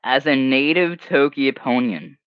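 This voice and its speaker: A man's voice: medium pitch, normal speed, smooth, no room sound. A young man whose first language is Hebrew, chatting casually on a livestream and reading comments, rambling and sometimes trailing off into mumbles. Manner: rambling, animated, drops to mumbles. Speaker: livestream host. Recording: dry, slightly muffled home mic.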